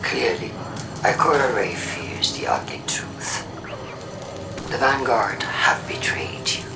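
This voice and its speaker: Echoing, breathy female robot agitator. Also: rumbling low, whispered. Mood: cold, accusing. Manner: measured, accusatory oratory. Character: coldly persuasive female robot faction leader, an agitator. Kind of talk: public address in a video game cutscene. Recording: echoing, distant broadcast under loud music.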